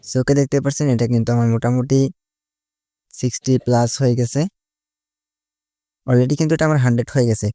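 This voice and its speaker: A man's voice: medium pitch, steady tone, quick pace, pauses often, dark tone, no echo, studio quality. A man giving a casual step-by-step tutorial, mixing in English words and leaning on repeated linking phrases. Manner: repetitive connective phrases, mixes English words into Bengali. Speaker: tutorial host.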